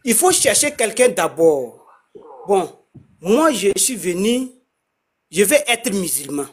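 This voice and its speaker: A man explaining his faith in a casual conversation, halting and repeating himself often. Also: high voice, sing-song, husky. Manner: halting, repeats words, many restarts.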